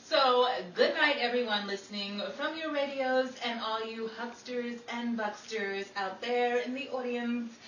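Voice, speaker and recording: A woman's voice: a little high, measured pace, few pauses, a bit bright, muffled recording. A woman playing a showy 1940s Hollywood radio newscaster, reading scripted trivia in a theatrical style. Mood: breezy farewell. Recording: roomy, distant mic with faint noise.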